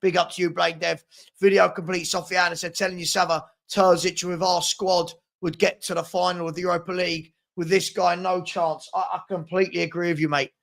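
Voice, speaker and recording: A British man hosting a live football fan stream with a hoarse voice, exasperated and emphatic, repeating himself as he reacts and reads comments. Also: high-pitched, flat delivery, a little fast, fairly bright tone. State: hoarse, losing his voice. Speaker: host of football watchalong stream.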